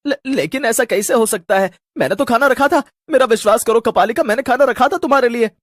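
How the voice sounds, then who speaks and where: A man's voice: extremely high pitch, fast talker, fairly bright tone, no room sound, studio quality, voice pushed up. A man playing a frightened villager in a horror story, imploring in a melodramatic way. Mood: panicked. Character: frightened, pleading village men in a witch tale.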